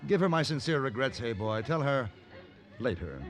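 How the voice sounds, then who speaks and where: A male actor as a suave gunfighter for hire in an old radio western. He is courteous, composed and wry, with a medium-pitched voice. The vintage recording has music clearly behind it. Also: animated, normal speed, close to an everyday voice. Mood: suave, unhurried. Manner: urbane, courteous, dryly witty. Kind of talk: 1950s radio western acting.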